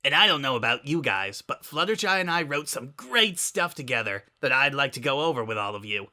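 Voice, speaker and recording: A man's voice: medium pitch, lively intonation, fairly quick, bright, forceful tone, voice pushed up. A man voicing a brash, eager, sporty teenage girl in a story reading.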